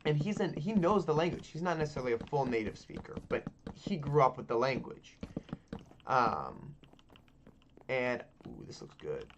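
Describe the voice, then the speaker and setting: A man's voice: mid-range voice, very animated, normal speed, muffled recording, slight background noise. A man, chatting loosely over gameplay, full of ums, likes and casual swearing. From Texas. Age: 20s. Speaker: gaming channel host.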